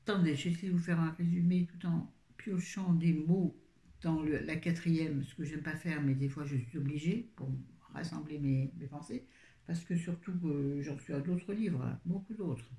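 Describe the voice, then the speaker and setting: Woman, book vlogger, chatty, rambling, mellow voice, echoey room. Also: sits low, steady tone, moderate pace. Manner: rambling, chatty, self-interrupting. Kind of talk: casual book review chat. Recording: roomy echo.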